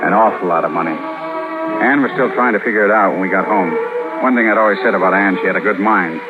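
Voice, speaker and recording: An American actor playing an ordinary, cautious, easygoing husband in a 1950s radio drama, speaking plainly and casually. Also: male voice, lowish voice, fast, over loud music, close to an everyday voice. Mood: reflective narrating. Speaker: lead actor and first-person narrator. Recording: noisy, phone-like 1950s radio recording.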